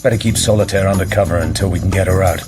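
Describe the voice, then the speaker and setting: An actor playing a cool, understated British secret agent in a radio drama, with a British accent and measured delivery. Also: male voice, sits low, over loud music, close to an everyday voice. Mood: cool, composed.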